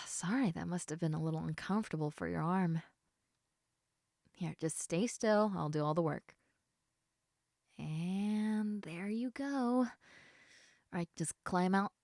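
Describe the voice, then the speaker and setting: A sleepy lamia girl, played by a woman speaks slowly in a slightly low female voice. She rambles casually, teasing and affectionate, as in an intimate roleplay audio. The sound is dry and close, with no room around it. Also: dry recording. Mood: apologetic.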